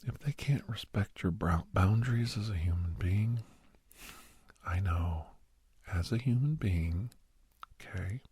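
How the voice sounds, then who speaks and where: A man playing a doctor who counsels a young person in an ASMR roleplay, mostly whispering in a slow, breathy, reassuring but firm manner. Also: deep voice, darkish tone, no echo, studio quality, exaggerated voice. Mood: gentle, advising. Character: male doctor gently counselling a young werewolf for college.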